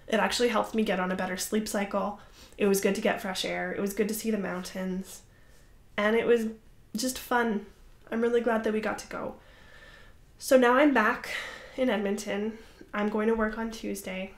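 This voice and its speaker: A young woman hosting a crafting podcast, chatting casually to the camera in a rambling, apologetic way with lots of fillers. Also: medium pitch. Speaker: podcast host. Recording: roomy echoey room.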